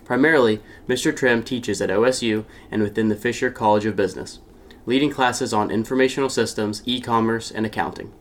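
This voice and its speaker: A man's voice: fairly low pitch, fairly even intonation, fairly quick. A young man hosting a podcast, reading a formal scripted introduction and asking interview questions. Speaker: podcast host. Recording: faint ambient noise.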